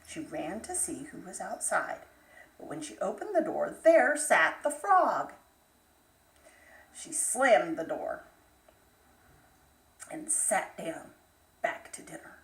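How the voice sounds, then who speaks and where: A woman narrating a bedtime fairytale aloud, expressively, with dramatic pauses. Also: on the low side, sing-song. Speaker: storyteller. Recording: roomy echo, distant microphone.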